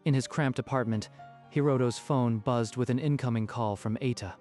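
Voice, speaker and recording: Male narrator, mid pitch, scripted dramatic storytelling, music in background. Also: normal speed, a little mellow. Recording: music behind the voice.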